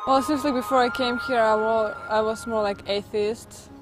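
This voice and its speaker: A young student woman from Kazakhstan speaks candidly in an interview. Her voice sits on the higher side, with faint music under it. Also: steady tone, normal speed, rough recording.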